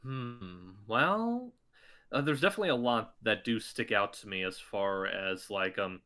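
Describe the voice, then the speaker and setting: A man chatting as a podcast guest, his voice bright and pushed forward. He tells stories eagerly and leaps up in pitch when he acts out what people said. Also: unhurried. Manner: chatty, excitable, acts out quotes in a higher voice. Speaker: voice actor guest. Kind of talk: casual podcast chat.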